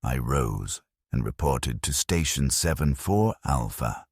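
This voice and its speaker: A man reading a scripted first-person story as a narrator, in a somber, measured way. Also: a bass voice, expressive, slightly rough, dry recording, clean studio sound.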